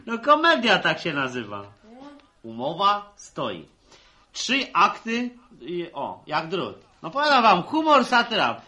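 A man's voice: high voice, huge pitch swings, slow, bright, forceful tone, full character voice. A man acting a boisterous young farmer and amateur actor in a 1960s Polish radio serial, announcing things in a showy, clowning way. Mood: showy, excited.

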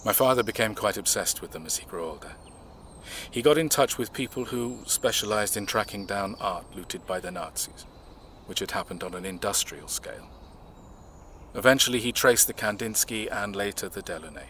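A man acting in an audio drama, playing a reserved, measured man who recounts his family's past in a reflective way. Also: on the low side, sharp tone, a little hiss or hum.

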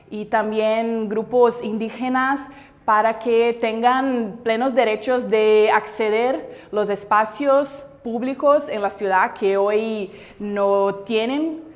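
A woman in her thirties from Brazil, a native Portuguese speaker with a Brazilian accent, giving earnest, deliberate interview answers as a city councillor. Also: a little high, fairly even intonation. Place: large bright indoor hall. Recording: echoey hall, music behind.

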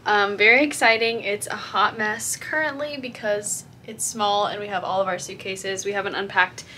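A woman's voice: mid-range voice, fairly even intonation, bright, forceful tone, a little hiss or hum. Young woman, 20s, casual vlogger, upbeat and chatty, a touch tired, camera at arm's length in a small room. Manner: chatty, upbeat, quick asides and laughs. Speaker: cruise ship dancer vlogger. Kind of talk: casual vlog talking to camera. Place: hotel room, then small ship cabin. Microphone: camera on a tripod or held at arm's length.

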